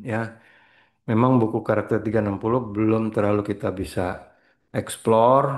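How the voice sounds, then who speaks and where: Rambling low-voiced man. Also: darkish tone. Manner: rambling, mixes English words, frequent throat clearing. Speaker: spiritual trainer. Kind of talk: casual motivational talk.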